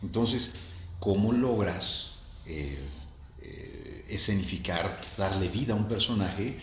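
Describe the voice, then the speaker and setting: A man interviewing casually, feeling his way through questions with repeated words and pauses. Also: lowish voice. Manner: halting, searching for words with repetitions and pauses. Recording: roomy echo with faint background noise.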